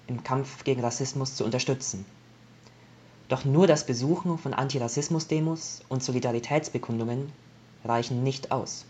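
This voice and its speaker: A man reading out a prepared laudation as a jury member, in a measured, formal style. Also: mid-range voice, darkish tone, slight background noise. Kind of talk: scripted speech, measured reading.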